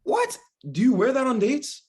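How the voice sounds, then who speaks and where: A man voices a wry, exasperated young man scolding his friend in a screenplay reading. The voice is husky and swings in pitch with animated disbelief. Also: moderate pace, heavily acted. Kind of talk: script table read.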